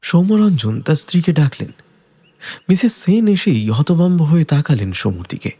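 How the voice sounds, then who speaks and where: A man reads a detective story in a dramatic, scripted style. His voice is somewhat high and mellow, with very lively pitch movement. Soft music runs behind it. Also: normal speed, slightly rough. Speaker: narrator.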